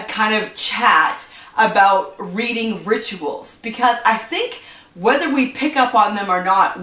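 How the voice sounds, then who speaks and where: A woman's voice: mid-range voice, fairly bright tone, reverberant, recorded from a distance. A woman chatting casually about her reading habits on her book channel, stuffed up from allergies and rambling with lots of fillers. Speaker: book vlogger. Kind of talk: casual chat to camera.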